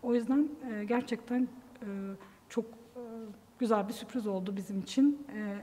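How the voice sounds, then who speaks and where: A woman's voice: fairly high pitch, moderate pace, warm tone. A woman, an educator giving an interview testimony. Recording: long hall reverb.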